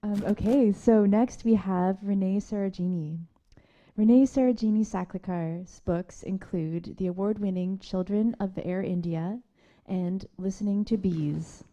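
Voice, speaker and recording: A woman hosts a literary event, introducing the next reader in a chatty, easygoing way. Her voice is deep and dark in tone, and the recording sounds dull and muffled. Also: a little slow. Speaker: festival host introducing readers. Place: theatre stage.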